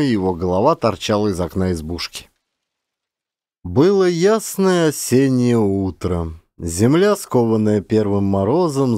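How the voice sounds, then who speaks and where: A man's voice: medium pitch, a little mellow, no room sound, studio quality. A man reading a literary story aloud in a dramatic audiobook style. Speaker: audiobook narrator and actor.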